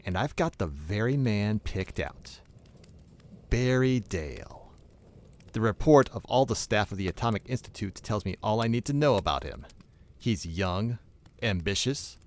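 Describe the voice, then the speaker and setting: Smooth, low-voiced criminal mastermind. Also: male voice, lively intonation. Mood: gloating. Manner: pompous, menacing, deliberate. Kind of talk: audio drama villain acting.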